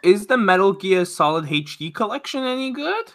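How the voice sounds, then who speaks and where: A man with a fairly high, bright voice chats as a podcast co-host. He speaks informally, in the everyday style of friends discussing games. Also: very animated, moderate pace. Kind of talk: casual chat about video games.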